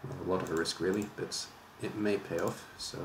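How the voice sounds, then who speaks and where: A man with a faint Welsh accent mutters through his moves in a measured, low-key way, voice sitting deep. The sound is roomy, with a faint hiss under it. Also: fairly even intonation. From Welsh border region. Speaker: streamer and league organizer. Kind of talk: casual live commentary while playing.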